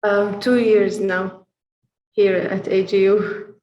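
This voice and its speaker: A woman's voice: mid-range voice, level tone, slow, muffled recording. A woman chatting casually on a video call, polite and a little hesitant in how she talks. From born in the United States, of Jordanian descent. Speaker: medical education center staff, former interpreter. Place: indoor room, on a video call. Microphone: computer video call microphone. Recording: roomy, echoing video call audio.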